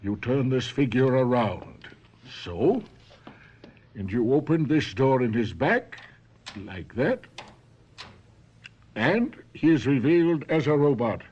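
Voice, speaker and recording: Husky, slow-spoken old inventor professor. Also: male voice, medium pitch, big pitch swings, darkish tone, muffled recording, exaggerated voice. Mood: coaxing, intense. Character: persuasive old male professor, inventor of humanlike robot. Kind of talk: 1970s radio mystery drama acting.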